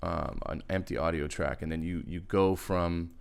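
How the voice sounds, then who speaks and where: Guy with a low gravelly voice rambling thru a beatmaking tutorial, chill and slangy. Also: steady tone, moderate pace, heavy background noise. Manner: laid-back, slangy, rambling. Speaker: music production tutorial host. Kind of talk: casual screen-recorded software tutorial. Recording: loud music over the voice. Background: hip hop beat and synth playback.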